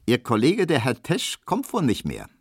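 A man voicing a plump, cheeky young maid, talking in a shrill, indignant way. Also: wildly swooping pitch, normal speed, slightly rough, dry recording, clean studio sound, full character voice. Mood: sassy indignant.

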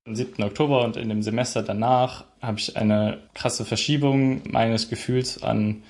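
A man's voice: mid-range voice, fairly even intonation, moderate pace, darkish tone. A man in his twenties, from Germany, answering interview questions thoughtfully, sometimes searching for words. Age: 20s. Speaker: interviewee, student.

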